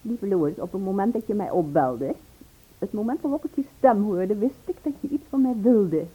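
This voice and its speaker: An actress playing a poised, shrewd, teasing ex-wife in an old radio play recording. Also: female voice, medium pitch, animated, moderate pace, husky, phone-quality sound. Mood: knowing, teasing.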